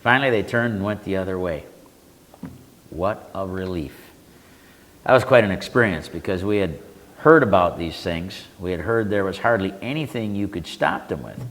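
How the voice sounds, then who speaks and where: An older veteran bluntly recounting war memories, with salty language. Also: male voice, sits low, lively intonation, moderate pace, a bit bright. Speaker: veteran panelist. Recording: reverberant community TV studio.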